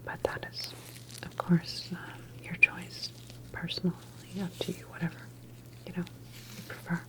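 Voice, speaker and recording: A woman's voice: on the low side, completely flat delivery, normal speed, close to an everyday voice, poor audio. Whispering, reassuring female doctor, acted by a woman. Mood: soothing, sympathetic. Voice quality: soft, breathy whisper. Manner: gentle, reassuring, hesitant phrasing. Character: calm, reassuring female doctor at a government facility. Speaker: ASMR roleplay performer. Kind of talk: whispered ASMR medical roleplay.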